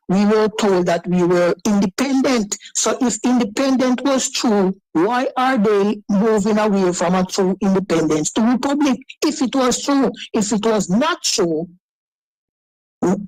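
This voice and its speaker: A man's voice: high voice, unhurried, a little mellow, no room sound, rough recording. A man calling in to a talk show, defending his point with lots of repeats and stumbling restarts. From Jamaica. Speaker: caller. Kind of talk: phone-in debate.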